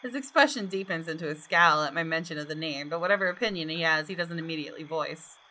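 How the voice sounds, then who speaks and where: Bright-voiced woman reading game narration. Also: deep voice, fast, poor audio. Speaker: gaming video host. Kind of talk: reading game narration aloud with casual asides.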